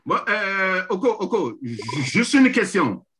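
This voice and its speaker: A man's voice: high-pitched, slow, slightly rough. A man on a video call in a political debate, pressing pointed questions and cutting in. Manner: animated, pressing questions, interrupting.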